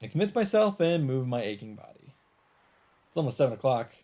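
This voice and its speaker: A man doing a let's play, reading a visual novel's narration aloud in a measured storytelling delivery. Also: mid-range voice, sing-song, dark tone.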